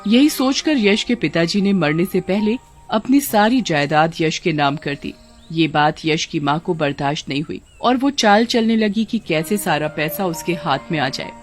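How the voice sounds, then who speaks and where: A woman's voice: fairly low pitch, moderate pace, soft background music. A woman giving scripted narration for a children's cartoon story.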